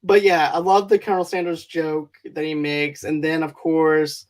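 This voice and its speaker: A man's voice: on the high side, flat delivery, moderate pace. A man hosting a casual movie review podcast, rambling and correcting himself as he goes. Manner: rambling, self-correcting, chuckling. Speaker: podcast host.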